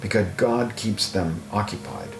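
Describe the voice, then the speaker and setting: A man's voice: lowish voice, unhurried. Man reading scripture in a roomy echo. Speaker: audiobook narrator. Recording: roomy echo, music underneath.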